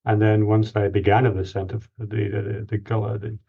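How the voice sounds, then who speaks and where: Low flat-voiced man, dull audio. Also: vocal fry, husky. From Greece. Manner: measured, explanatory, with restarts. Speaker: guest expert. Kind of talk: interview answers.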